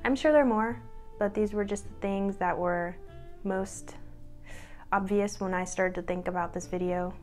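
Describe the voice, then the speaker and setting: A woman's voice: fairly high pitch, vocal fry, a little mellow. A young woman in her twenties talking casually to the camera at home, chatty and upbeat, laughing at herself now and then. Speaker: vlogger.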